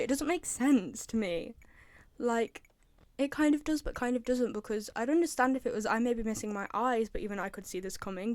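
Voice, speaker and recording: A woman's voice: fairly high pitch, a little mellow, dry recording. A woman chatting casually and giving opinions as a fan commentator, rambling and repeating herself for emphasis. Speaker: fan commentator ranking game voice lines.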